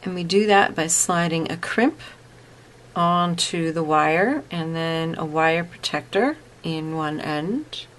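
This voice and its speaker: A woman's voice: deep voice, slow pace, fairly bright tone. A woman narrating a step-by-step craft tutorial, explaining each step plainly and patiently.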